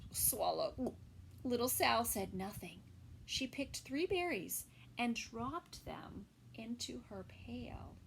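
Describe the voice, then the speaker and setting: Slow, expressive storytime teacher. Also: female voice, a little high, vocal fry, a little hiss or hum, poor audio. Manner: gentle asides, soft whispered comments. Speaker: preschool teacher. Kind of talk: reading a picture book aloud to young children.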